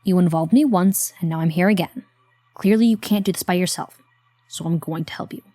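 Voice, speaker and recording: An actress playing a wry, reluctant but loyal helper in a horror audio drama, talking in a dry, conversational way. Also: female voice, lowish voice, a little fast, mellow, no echo, natural voice. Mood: determined.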